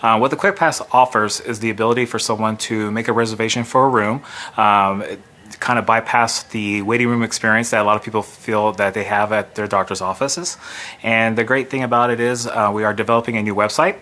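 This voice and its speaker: This American man in his forties presents clinic services in a promotional interview. His voice is fairly low but bright, with a firm, forceful edge, as a nurse manager on camera. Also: steady tone, fairly quick. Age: 40s. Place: clinic reception area indoors.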